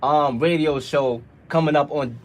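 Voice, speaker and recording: A man's voice: a little high, unhurried. A man hosting a casual sports debate, making his points emphatically with repeated rhetorical questions. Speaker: radio show host.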